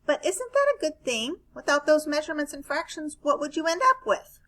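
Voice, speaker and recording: Woman voicing an eager, high-pitched space alien. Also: big pitch swings, fairly quick, fairly bright tone. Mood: encouraging. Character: curious, cheerful know-it-all space alien. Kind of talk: animated children's picture-book read-aloud.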